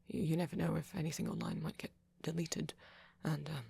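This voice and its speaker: Woman playing a shy vampire guy for asmr, super breathy and creaky, nervous stammering, apologetic. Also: low-pitched, steady tone, fairly quick, no room sound, heavily acted. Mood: shy, hesitant. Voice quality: soft, breathy, often half-whispered. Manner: hesitant, stammering, self-conscious. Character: shy, anxious, apologetic young-looking male vampire, softly nerdy. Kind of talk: ASMR roleplay monologue to a listener.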